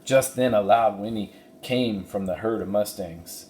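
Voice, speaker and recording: A man giving an expressive narration of a children's storybook. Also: on the low side, unhurried. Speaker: story reader. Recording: roomy echo, faint background noise.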